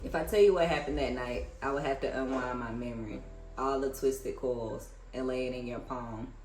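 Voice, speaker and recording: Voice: mid-range voice, moderate pace, a little mellow, faint music behind. American book reader in an echoey room. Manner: informal, conversational asides between read passages. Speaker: book reader and reviewer hosting a read-aloud. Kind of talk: reading aloud with casual commentary.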